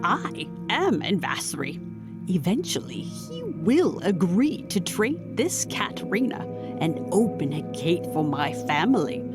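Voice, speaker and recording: A woman's voice: lowish voice, very animated, unhurried, over loud music. An actress playing an imperious female demon in an audio drama, formal, menacing and mockingly amused. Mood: coldly menacing.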